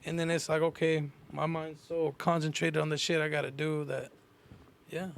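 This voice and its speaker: A man's voice: on the high side, flat delivery, normal speed, creaky. A man in a slangy, casual podcast chat, blunt and sweary, repeating phrases to make his point. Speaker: podcast co-host.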